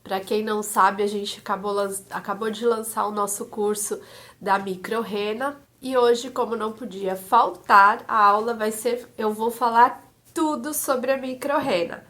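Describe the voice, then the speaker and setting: Woman, stuffy congested voice, bright tone, live online teacher, explains and checks in often. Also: a little high, steady tone, measured pace. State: congested, voice may crack. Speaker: eyebrow micropigmentation instructor. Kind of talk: live-stream class with chat greetings.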